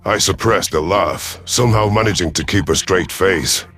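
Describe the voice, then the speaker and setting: Old reptile alien commander guy acting it, real low pitch, measured n sardonic, proud, audio drama. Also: fairly bright tone, faint music behind. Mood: brooding. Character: proud, vengeful elderly male alien reptilian predator commander. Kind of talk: audio drama first-person narration and dialogue.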